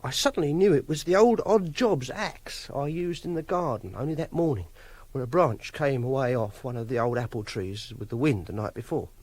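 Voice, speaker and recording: A man's voice: mid-range voice, animated, normal speed, few pauses, a little mellow, no echo, close to an everyday voice. An actor playing a socially awkward, obsessive young Englishman in a radio drama, hesitant and defensive. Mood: confessional, self-justifying. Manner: awkward, defensive, fussily self-justifying. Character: socially awkward, obsessive young man holding a woman captive. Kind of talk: radio drama acting and first-person narration.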